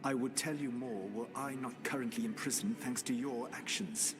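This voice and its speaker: An actor playing a proud, imprisoned elven god in a video game, speaking formally and gravely with measured regret. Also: male voice, medium pitch, music in the background, lightly acted. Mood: dry, pointed. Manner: formal, measured, solemn, cryptic. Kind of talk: video game cutscene acting.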